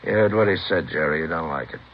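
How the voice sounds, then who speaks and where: An actor playing a bossy, quarrelsome drug gangster, sneering and slangy, in a 1950s radio crime drama. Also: male voice, low-pitched, quick pace. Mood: domineering, sneering. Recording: muffled, noisy old radio recording.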